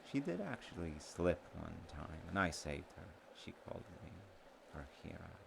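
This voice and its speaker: A man playing a sweet, slightly slow, sentimental childhood friend in an intimate audio roleplay, speaking gently and haltingly, with trailing pauses. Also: low-pitched, very animated, creaky, noisy background, heavily acted. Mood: fond reminiscing.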